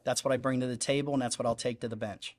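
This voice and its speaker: A man's voice: medium pitch, fairly even intonation, quick pace. Earnest candidate man, emphatic repeats. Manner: earnest, emphatic, repetitive phrasing. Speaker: judicial candidate. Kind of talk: candidate forum statement. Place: public forum hall.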